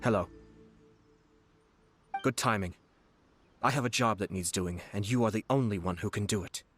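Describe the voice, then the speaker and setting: An actor playing a refined young nobleman in a video game, courteous, composed and slyly teasing, with formal, measured speech. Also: fairly low pitch, a bit bright, natural voice. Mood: playful, teasing. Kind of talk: scripted game character voice lines. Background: background music.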